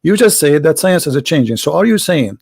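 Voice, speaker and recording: A man's voice: on the high side, big pitch swings, fairly quick, creaky, darkish tone, husky, no echo, clean studio sound. Insistent man, native Arabic speaker. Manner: insistent, interrupting, talks over the other. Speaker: debate host. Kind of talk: heated online debate.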